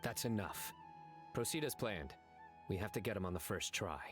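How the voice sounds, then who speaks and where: A stern, deadpan desert lawman played by a man for an anime game, low in pitch. He speaks in terse, measured, confident lines, with game music playing behind him. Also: lightly acted. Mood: stern command. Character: grave, stern adult male desert law enforcer, terse and confident. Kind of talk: anime video game acting.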